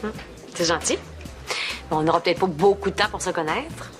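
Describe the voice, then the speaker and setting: An actress with a Québécois accent playing a sharp-witted woman who needles her friends playfully. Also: on the low side, a little fast, natural voice. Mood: teasing. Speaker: sitcom character, friend. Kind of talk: sitcom acting. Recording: TV sitcom audio with music and audience.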